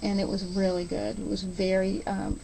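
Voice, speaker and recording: A woman's voice: medium pitch, level tone, moderate pace, gravelly, mellow, a little hiss or hum, poor audio. A woman chatting casually as a guest in a radio interview about an event she organises.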